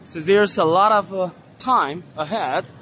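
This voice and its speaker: A hoarse man walking through an indoor trade fair hall, giving casual commentary on the stands with many fillers and pauses. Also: sits high, bright, forceful tone, slight background noise. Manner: unhurried, halting, many fillers. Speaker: vlogger touring a trade fair.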